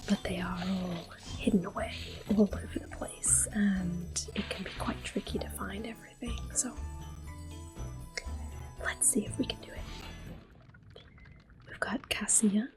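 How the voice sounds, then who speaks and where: A woman speaks softly and breathily in an ASMR style, often slipping into a whisper. Her delivery is slow and gentle, at an ordinary pitch, as she comments on gameplay. Game music plays clearly behind her. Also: steady tone, low-quality recording. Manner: gentle, unhurried. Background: soft video game music.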